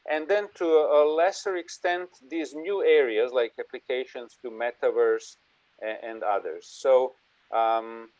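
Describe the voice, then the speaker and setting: A man's voice: medium pitch, measured pace, a little mellow, dry recording. A man giving a conference presentation and answering questions in a conversational way, with restarts as he goes. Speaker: invited speaker presenting an AI institute. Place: online video conference. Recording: dull, lo-fi online call audio.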